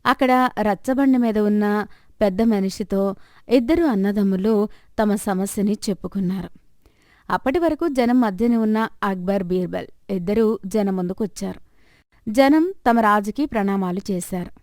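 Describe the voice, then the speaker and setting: A woman's voice: medium pitch, normal speed, a little mellow, no echo, studio quality. A woman narrating a scripted moral story as the storyteller.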